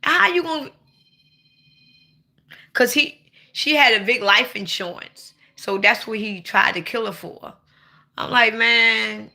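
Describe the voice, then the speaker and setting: Bright-voiced playful woman in her forties. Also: on the high side, slow pace, creaky, long pauses. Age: 40s. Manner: blunt, playful, swings to sudden loud outbursts. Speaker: livestream host. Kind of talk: casual livestream chat.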